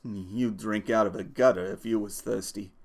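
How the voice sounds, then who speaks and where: A man's voice: lowish voice, steady tone, moderate pace, creaky. A man voicing a small, irritable working man who scolds and swears, from a storybook. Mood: exasperated. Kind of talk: audiobook character voice.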